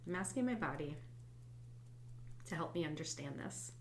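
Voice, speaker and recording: A woman hosting a guided tapping session, congested from a head cold, speaking softly and reflectively and sometimes dropping to a whisper. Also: low voice, lively intonation, moderate pace, slight background noise. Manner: soft, reflective, drifts into quiet whispered tapping phrases. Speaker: host and EFT tapping coach.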